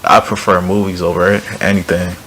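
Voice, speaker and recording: A young man with a low, deep voice speaks in an even, fairly flat tone as he vlogs to his channel. His delivery is relaxed and rambling, full of restarts and fillers. A faint hiss of room noise sits behind him. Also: moderate pace, low-quality recording. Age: young adult. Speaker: language learning YouTuber. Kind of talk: casual vlog talk to camera.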